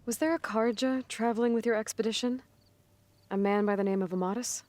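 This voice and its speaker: A woman's voice: a little high, brisk, darkish tone, no room sound, close to an everyday voice. Woman as a skeptical game heroine, dry sound. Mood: businesslike. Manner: dry, pointed, understated questioning. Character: level-headed, skeptical young heroine, principled wanderer. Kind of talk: video game cutscene dialogue.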